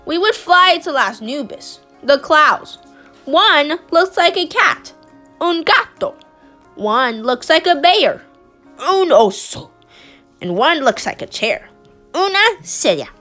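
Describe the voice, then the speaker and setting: A woman reads a picture book aloud, gently and expressively. Her voice is high and lively, her pace unhurried, and soft music plays beneath her. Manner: slow, expressive, gentle reading. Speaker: storybook reader.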